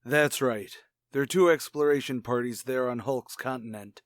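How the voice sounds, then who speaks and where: Man playing a brisk space commander, very dry sound. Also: mid-range voice, dry recording, close to an everyday voice. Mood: businesslike. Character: brisk, authoritative male space fleet commander. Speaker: announcer and actor in an audio drama. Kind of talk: retro radio drama acting.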